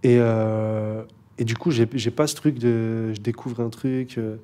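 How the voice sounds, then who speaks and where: A man's voice: medium pitch, little pitch movement, unhurried, warm tone. A young man, a rapper, chatting casually in an interview, laid-back and hesitant, with many fillers and restarts. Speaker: rapper guest.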